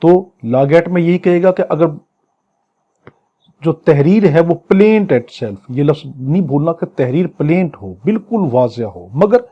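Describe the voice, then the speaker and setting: A man's voice: a little high, very animated, husky, dull audio. A man with a Pakistani accent teaching law in a didactic way, explaining phrase by phrase and repeating himself. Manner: didactic, repeats and paraphrases, switches to slow English readings. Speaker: law lecturer. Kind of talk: exam lecture, explaining legal text phrase by phrase.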